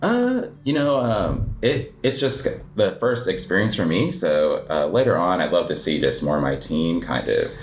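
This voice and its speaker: A man's voice: deep voice, moderate pace, hardly any pauses, slight background noise. A man, perhaps in his thirties, chatting casually as an interview guest about coffee, rambling with lots of filler words. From United States. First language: English. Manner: relaxed, rambling, heavy filler words, self-deprecating laughs. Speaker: guest, cafe owner and coffee competitor.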